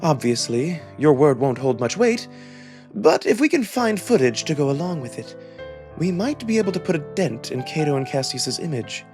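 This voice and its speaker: A man voicing a measured, diplomatic jackal nobleman from a visual novel, wary and deliberate in his formal phrasing. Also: on the high side, sing-song, darkish tone, faint music behind. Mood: cautioning. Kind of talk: visual novel character reading.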